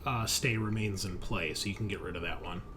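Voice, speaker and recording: A man running a tabletop roleplaying game as game master, narrating in an improvised, deliberate way with pauses. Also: low-pitched, noisy background.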